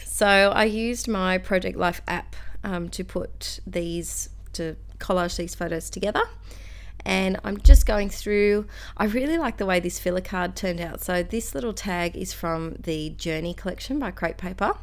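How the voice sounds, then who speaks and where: A woman's voice: on the low side, a little slow. A young Australian woman chatting casually through a craft video, rambling and self-deprecating. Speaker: crafter and school teacher.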